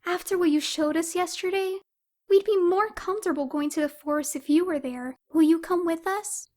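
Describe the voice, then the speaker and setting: A woman's voice: very high-pitched, moderate pace, a little mellow. A woman playing a cheeky, forward young farmhand with a girlish voice in an audio drama. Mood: friendly, forward. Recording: music behind the voice.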